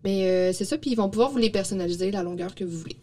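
A woman's voice: mid-range voice, rapid-fire, warm tone. A woman with a Quebec French accent presenting products in a casual, explanatory podcast chat, recorded on a studio microphone. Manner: informal, explanatory. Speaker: presenter of young people's product projects. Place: small indoor podcast studio. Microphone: studio microphone on boom arm.

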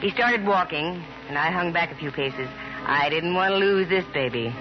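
A woman's voice: on the low side, lively intonation, normal speed, over loud music, lightly acted. An actress playing a wry, self-assured female private detective in 1950s radio drama style, with dry and playful delivery. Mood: cool, brisk. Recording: noisy, muffled 1950s radio recording.

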